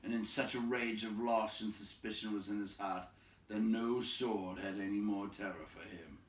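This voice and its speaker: Male storybook narrator: lower register, expressive read-aloud, recorded off mic in a reverberant room. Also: normal speed. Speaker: storybook reader. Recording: echoey room, distant from mic.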